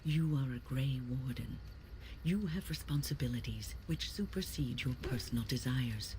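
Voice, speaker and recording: An actress playing an older woman mage in a fantasy video game, speaking in formal, measured phrases as an earnest, kindly counselor. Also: extremely low pitch, lively intonation, background noise. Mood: grave, admonishing. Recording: game music behind the voice.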